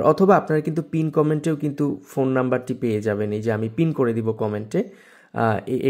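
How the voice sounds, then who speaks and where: A man's voice: mid-range voice, mellow. A man with a Bangladeshi accent hosting a casual tech tutorial and promoting a product. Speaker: tech video host.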